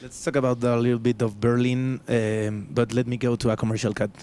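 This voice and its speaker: A man with a Mexican accent, a native Spanish speaker, hosting a casual music interview in halting English phrasing. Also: medium pitch, level tone, dark tone, a little hiss or hum. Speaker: show host, interviewer.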